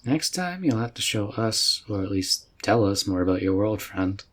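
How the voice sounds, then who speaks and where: A man playing a friendly, relaxed mage mentor with a folksy, reassuring way of talking in a roleplay audio drama. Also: fairly low pitch, normal speed, slight background noise. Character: easygoing, friendly male fantasy mage mentor.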